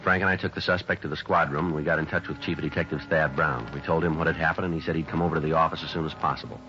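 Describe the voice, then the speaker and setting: Terse police sergeant, low voice, deadpan, clipped, old radio drama, dull recording. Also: male voice, very fast, over music. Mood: matter-of-fact. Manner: deadpan, matter-of-fact. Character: terse, businesslike male police sergeant detective. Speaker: narrator and lead actor.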